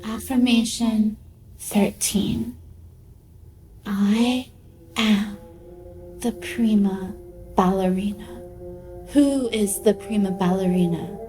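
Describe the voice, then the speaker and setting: A woman playing a proud, self-absorbed artificial intelligence ballerina in a radio play, with a haughty manner. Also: mid-range voice. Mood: self-affirming. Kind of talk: theatrical radio play acting.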